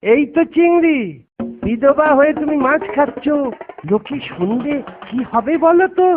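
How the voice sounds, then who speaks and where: A man plays a cunning, witty village trickster from a cartoon. The voice is pitched very high and has a teasing manner. It comes through thin, phone-like audio with prominent music underneath. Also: lively intonation, a little slow. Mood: mock innocent, teasing. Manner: teasing, crafty. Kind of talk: cartoon voice acting.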